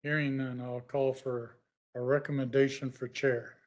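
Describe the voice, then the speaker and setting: A man's voice: medium pitch, little pitch movement, moderate pace, a little mellow. Folksy man chairing a city meeting. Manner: plain, folksy, loose with fillers. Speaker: vice chair running a city commission meeting. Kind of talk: public meeting procedure.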